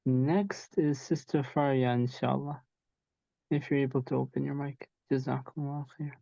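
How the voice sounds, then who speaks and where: Flat-voiced man hosting a call. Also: mid-range voice, creaky, dry recording. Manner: measured, peppered with religious phrases. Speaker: host and founder. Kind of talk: moderating an online town hall. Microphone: video call. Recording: dull lo-fi call audio.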